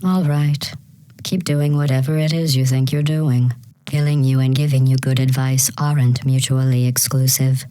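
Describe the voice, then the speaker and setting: A woman playing a menacing, sarcastic female artificial intelligence in a video game, deadpan and condescending, with synthetic processing on the voice. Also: a bass voice, normal speed, clean tone, no room sound, rough recording. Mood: mock-cheerful menace. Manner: deadpan, passive-aggressive, condescending. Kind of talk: scripted video game voice acting.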